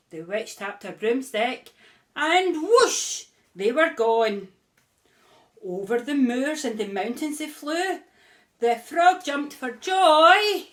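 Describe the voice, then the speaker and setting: A woman reading a rhyming picture book aloud in a rhythmic, expressive way. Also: sits high. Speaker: storybook reader.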